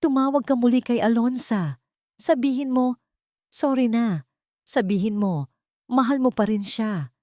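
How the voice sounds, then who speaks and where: A woman's voice: fairly high pitch, a little slow, long pauses, darkish tone, no echo, voice pushed up, natural voice. Audio drama role: scheming, demanding mistress played by a woman, affected complaints, pushy delivery, very dry recording. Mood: whiny, pushy.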